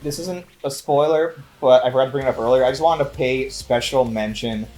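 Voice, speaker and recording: A man's voice: mid-range voice, a little fast, darkish tone. A man co-hosting a casual movie review, with a wry, mock-serious way of talking. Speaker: movie review show co-host. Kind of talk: casual banter and film review.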